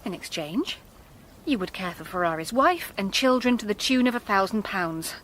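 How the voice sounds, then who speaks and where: A woman's voice: mid-range voice, expressive, normal speed, a bit bright, slight background noise, close to an everyday voice. An actress playing a determined, grave young woman in a radio drama, composed and probing. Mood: sorrowful, probing.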